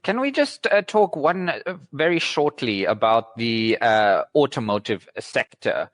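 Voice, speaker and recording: Guy interviewer, medium pitch, stumbly conversational questions, no echo at all. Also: very animated, a bit bright. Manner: halting, rephrasing questions as he goes. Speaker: news interviewer.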